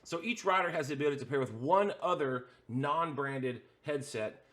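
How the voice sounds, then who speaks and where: Upbeat higher-voiced man explaining gear. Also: expressive, normal speed, fairly bright tone. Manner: explains step by step with frequent "uh" fillers; hands-on demonstration, points at devices. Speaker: host. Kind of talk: how-to tutorial explaining gear setup.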